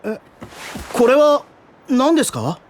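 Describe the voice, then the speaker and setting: A woman's voice: high voice, sing-song, slow pace, darkish tone, slight background noise, full character voice. A woman playing a sincere, spirited boy in an anime-style audio drama, with an earnest boyish manner. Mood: surprised. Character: sincere, spirited baseball-loving boy, a protective older brother.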